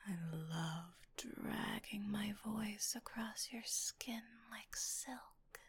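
A woman's voice: mid-range voice, very slow, slightly rough, dry recording. A woman whispering breathily in an intimate ASMR-style monologue, with a teasing, commanding and lingering delivery.

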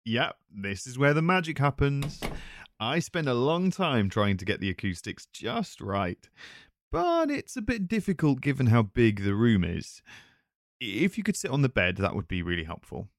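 A man's voice: mid-range voice, very animated, normal speed. A man playing a chatty, nervous voice actor, talking casually to a visitor in a self-conscious, hesitant, easily flustered way. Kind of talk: casual conversational audio roleplay addressed to listener.

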